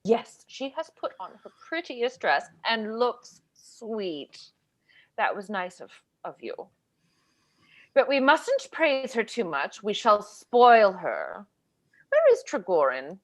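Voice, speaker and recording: A woman's voice: medium pitch, wildly swooping pitch, slow pace. A woman playing a vain, fretful middle-aged stage actress in a play reading on a video call, theatrical in manner. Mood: airy, fretful.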